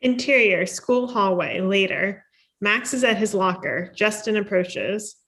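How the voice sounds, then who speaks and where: A woman's voice: medium pitch, unhurried, a bit bright. Female narrator for script directions, mid-range voice, short scene-setting sentences. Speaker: stage directions reader.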